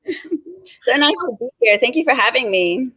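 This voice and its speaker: A woman's voice: sits high, animated, moderate pace, pushed and bright, slightly rough, low-quality recording. A woman from New Zealand with a New Zealand accent, a guest author chatting casually on a video call with many ums and restarts.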